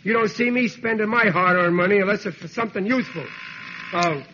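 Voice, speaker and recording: An actor playing a stubborn, slow-witted American working-class father in a 1940s radio comedy, grumbling indignantly in rough grammar. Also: sits high, sharp tone. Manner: grumbling, indignant, ungrammatical working-man talk. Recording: dull vintage radio recording, hissy.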